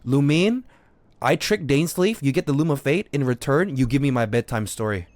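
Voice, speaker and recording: Male game streamer, medium pitch, dry sound; argumentative, repetitive, sweary, off-the-cuff commentary. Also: animated, normal speed, darkish tone, no echo. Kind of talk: unscripted gaming stream commentary.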